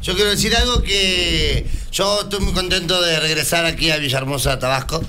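A man's voice: fairly high pitch, fairly quick, faint music behind. A man from Argentina with an Argentine accent, a circus performer who jokes and speaks with hesitant fillers. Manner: joking, warm, hesitant fillers.

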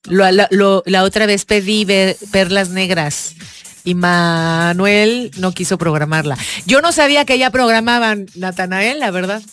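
A woman's voice: medium pitch, a little slow, fairly bright tone, soft background music. A woman hosting a radio show, chatting casually with her co-host and the audience and reading out the time before a break. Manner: conversational, affirming, reads time cues.

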